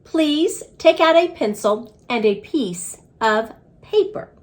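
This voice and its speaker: A woman reads as a female storybook schoolteacher addressing her class. She is firm and encouraging, with a fairly high, very animated voice and a deliberate, measured delivery. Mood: brisk, firm.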